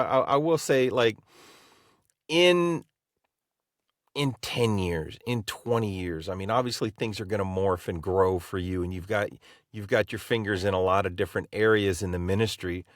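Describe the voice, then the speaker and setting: A man co-hosting a podcast, talking in a rambling, halting, friendly way with many restarts. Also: fairly low pitch, no echo, clean studio sound. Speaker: podcast co-host. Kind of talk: casual interview chat.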